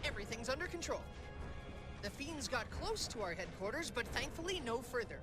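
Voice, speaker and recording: A dutiful young game soldier gives a formal report. The voice is high for a man and lively in pitch, earnest and reassuring, with music behind. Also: a little fast. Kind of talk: video game voice acting.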